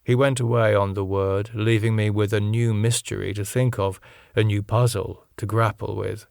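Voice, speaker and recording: A man reading a classic novel as an audiobook narrator, in a measured, solemn, literary delivery. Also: lowish voice, steady tone, creaky, darkish tone, clear, resonant tone, dry recording, studio quality.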